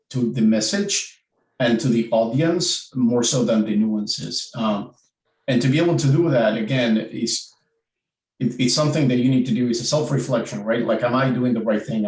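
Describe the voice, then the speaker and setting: A man's voice: medium pitch, darkish tone, echoey room, recorded from a distance, rough recording. A man from Argentina with an Argentine Spanish accent, speaking reflectively on a virtual panel and often repeating words as he thinks.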